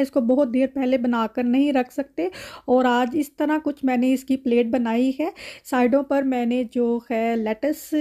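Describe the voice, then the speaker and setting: Woman, Pakistani accent, high-pitched, mellow tone, home cooking vlogger, conversational recipe narration. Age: adult mother.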